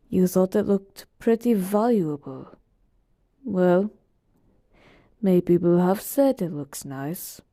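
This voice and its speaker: A woman's voice: fairly low pitch, warm tone, no echo, clean studio sound. A woman voicing an ancient, all-powerful genie who talks casually and teasingly to a master in a roleplay monologue. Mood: wry, assured. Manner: casual, teasing, conversational asides. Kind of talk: in-character roleplay monologue to the listener.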